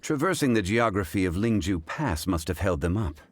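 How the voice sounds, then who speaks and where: A man voicing a composed, erudite gentleman in a video game, speaking in a formal, deliberate way. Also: fairly low pitch, animated, a little hiss or hum, close to an everyday voice. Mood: calm, pondering. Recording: music behind the voice. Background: game music.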